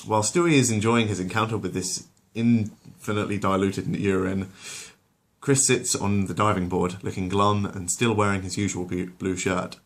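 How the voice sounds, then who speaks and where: A man's voice: on the low side, steady tone, normal speed. A man reading scripted comic story narration in a wry, wordy, mock-literary way.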